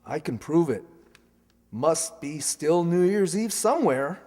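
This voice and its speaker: An actor playing an earnest, flustered young son in love, emphatic and pleading, in a radio comedy. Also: male voice, a little high, expressive, a little slow, warm tone. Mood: pleading, tender. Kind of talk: old-time radio comedy acting. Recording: reverberant hall, stage radio play.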